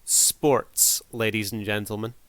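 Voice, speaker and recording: A man's voice: on the low side, level tone, slow pace, very noisy. A man hosting a video review, talking casually off the cuff in a laid-back, wry way. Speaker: video reviewer and channel host.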